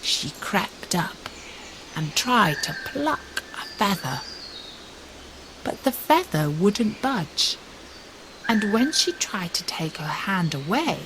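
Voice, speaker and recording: A woman narrating a fairy tale for English learners in a measured, expressive read-aloud way. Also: mid-range voice. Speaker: storyteller. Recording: steady loud pink noise background.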